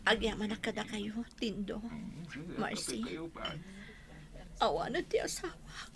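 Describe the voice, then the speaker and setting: A woman's voice: mid-range voice, animated, a little slow, gravelly, a crowd in the background. A woman playing a devoted, grieving wife in a radio drama, with a tearful, emotional delivery. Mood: subdued grief.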